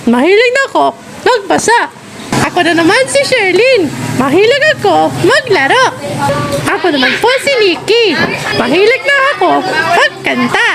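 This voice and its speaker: A woman's voice: very high voice, big pitch swings, normal speed, crowd noise, heavily acted. A woman voicing a cheerful, friendly young character in a children's show, exclaiming and addressing young viewers. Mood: eager, friendly. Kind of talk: scripted children's show voice-over.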